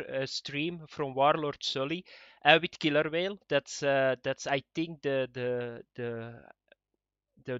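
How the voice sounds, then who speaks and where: A man whose first language is not English, giving viewers a casual gaming news update in a halting way, with lots of fillers and repeated words. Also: on the high side, sharp tone, no echo, muffled recording. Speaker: gaming video creator.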